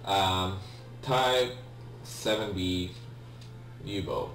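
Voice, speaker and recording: A man's voice: low voice, extremely slow, a bit bright. A young man with a Polish accent, a native Polish speaker, giving hesitant casual commentary on a game he is playing. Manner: hesitant, many ums and restarts, apologetic. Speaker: let's play gamer. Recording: echoey room, distant mic, faint hum.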